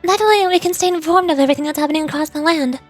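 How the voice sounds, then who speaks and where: Polite android guild receptionist, played by a woman: high, measured, slightly robotic, game dialogue over faint music. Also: natural voice. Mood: polite, earnest. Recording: music behind.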